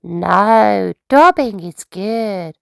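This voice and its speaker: A woman's voice: medium pitch, lively intonation, extremely slow, vocal fry, a bit bright, clear, resonant tone, dry recording, heavily acted. A woman voicing a bossy, mean female swim teacher in a storybook, blunt, smug and domineering. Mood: brash, smug. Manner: brash, domineering, taunting. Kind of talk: children's storybook character voice.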